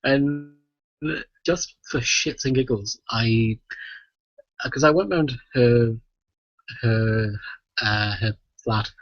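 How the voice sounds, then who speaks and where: A man's voice: medium pitch, husky. Man swearing through stories, muffled echoey audio. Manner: sweary, animated storytelling with frequent "right?" tags. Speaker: podcast host. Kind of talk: casual chat, rambling anecdotes. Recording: muffled, echoey voice-chat recording.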